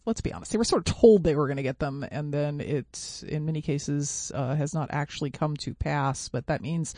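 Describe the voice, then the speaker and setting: A woman's voice: deep voice, mellow, no room sound, clean studio sound. Chatty upbeat woman hosting a podcast. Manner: chatty, upbeat, many restarts. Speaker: podcast host. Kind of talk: casual interview hosting.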